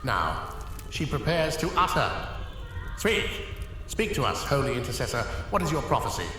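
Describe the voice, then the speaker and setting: Man acting as solemn temple priest, commanding, declamatory, mid-pitched, heavy echo, noisy background, fantasy audio drama. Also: big pitch swings, normal speed, sharp tone. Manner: ceremonial, grandiose, declamatory. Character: solemn, commanding male temple priest presiding over oracle. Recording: cavernous echoing hall, clear noise.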